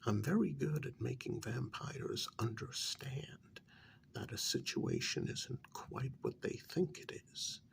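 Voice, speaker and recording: A man acts out a male vampire official in an intimate ASMR role-play, patient yet exasperated. His soft, breathy voice crackles with very heavy fry and swings widely in pitch. He coaxes haltingly, often close to a whisper, over faint background noise. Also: huge pitch swings, exaggerated voice. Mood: coaxing, concerned. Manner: halting, coaxing, many pauses.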